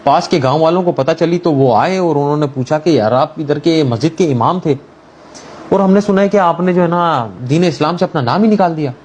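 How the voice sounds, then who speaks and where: A man's voice: a little high, brisk, a little mellow. A man telling a religious moral story in an informal, conversational way, mixing in everyday English words. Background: background music.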